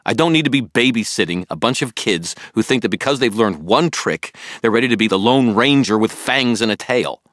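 A man's voice: mid-range voice, lively intonation, brisk, hardly any pauses, a bit bright, no room sound, pitched above the performer's own voice, lightly acted. Man as a stubborn male wizard private eye, short-tempered, sardonic, defiant, audiobook dialogue, very dry sound. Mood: angry, defiant.

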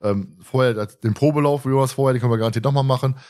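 A man's voice: mid-range voice, racing, darkish tone. A man hosting a podcast in casual chat, with a colloquial, jokey way of talking. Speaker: podcast host.

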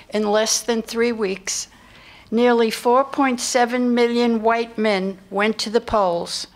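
An older woman, around seventy, reads historical narration from a script. Her voice sits a little high, and she speaks into a microphone in a lecture room. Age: older, about 70. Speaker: narrator in a staged radio play by retired students. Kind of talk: scripted narration read aloud. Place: lecture room with seated audience. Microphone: microphone on stand at lectern.

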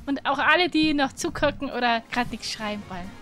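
A woman's voice: sits high, a little fast, bright, forceful tone. A woman co-hosting a gaming livestream in casual talk, chatty and informal. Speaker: gaming stream co-host. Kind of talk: casual livestream chat and game recap. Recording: music playing behind the voice. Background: game music.

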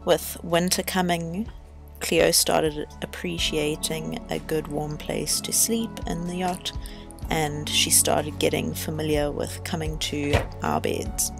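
A woman's voice: low-pitched, fairly even intonation, slow, vocal fry. A young woman narrating a sailing vlog voice-over in an easygoing, explaining way. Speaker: sailing vlogger. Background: music.

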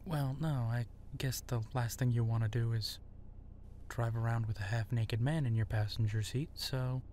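A man playing an apologetic, self-doubting werewolf in human form in a roleplay audio drama, speaking softly and hesitantly. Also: medium pitch, fairly even intonation, moderate pace, slight background noise, close to an everyday voice. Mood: hesitant, sheepish. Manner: hesitant, soft-spoken, sheepish.

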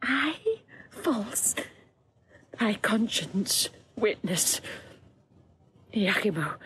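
An actress playing an anguished, proud young princess and wronged wife in impassioned, declamatory classical Shakespearean audio drama. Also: female voice, on the high side, slightly rough, exaggerated voice.

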